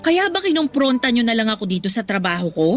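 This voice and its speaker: A woman's voice: on the high side, big pitch swings, fast, faint music behind. A young woman playing a polite, uneasy office worker in a radio drama. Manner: polite, uses respectful po.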